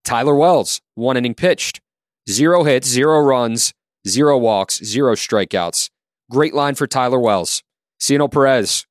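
Man steadily reading out pitching lines. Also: no echo, studio quality. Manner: steady, listing numbers methodically. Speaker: co-host. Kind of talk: sports podcast, reading stat lines.

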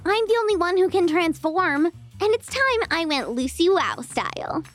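A woman's voice: extremely high pitch, big pitch swings, normal speed. A woman voicing a bold, no-nonsense young girl superhero in a children's adventure story, confident and matter-of-fact. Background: faint music.

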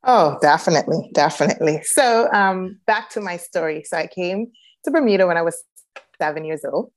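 Interview guest: woman with a faint Jamaican lilt, lower pitch, thoughtful and unhurried. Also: animated. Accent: light Jamaican. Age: adult, a grown child. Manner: reflective, many "um"s, warm laughs. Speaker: guest, reboot coach. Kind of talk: personal storytelling in interview.

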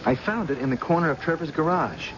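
A man's voice: fairly high pitch, animated, fast talker, lightly acted. An actor playing a determined, eager young policeman, earnest and insistent, in a 1970s radio drama. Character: eager, determined junior policeman, the victim's son. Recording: muffled, noisy old radio recording.

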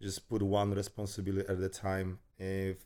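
A man from Poland, whose first language is Polish, chatting as a podcast guest about fitness in short, playful answers with halting English. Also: sits low, monotone, warm tone. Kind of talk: casual chat.

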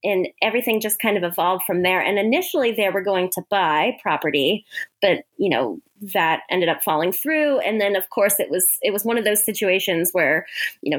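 American female interview guest, chatty and informal, quick to laugh, mid-pitched voice. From Hawaii, United States. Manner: chatty, laughs often while talking. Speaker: interview guest, author and women's travel entrepreneur. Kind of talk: casual conversational interview.

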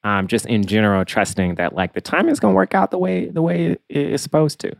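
A man's voice: on the high side, fairly even intonation, a little fast, vocal fry, no room sound. American man podcaster, close dry sound. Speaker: podcast co-host. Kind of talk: casual conversational podcast chat.

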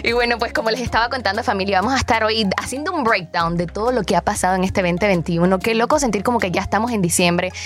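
A woman's voice: medium pitch, quick pace, hardly any pauses, soft background music. A woman hosting a casual entertainment chat, upbeat and sprinkling English words into her speech. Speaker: host.

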